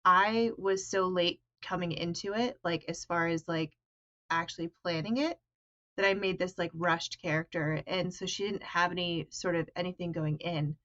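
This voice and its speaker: A woman's voice: lowish voice, fairly even intonation, normal speed, a bit bright, highs cut off. A woman answering interview questions in a rambling way, using "like" often. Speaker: guest player.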